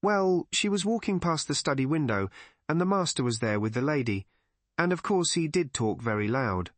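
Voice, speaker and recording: A man's voice: a little high, animated, moderate pace, dry recording, voice pushed up, lightly acted. A man voicing a young female servant, deferential and cautious, in an audiobook. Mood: confiding.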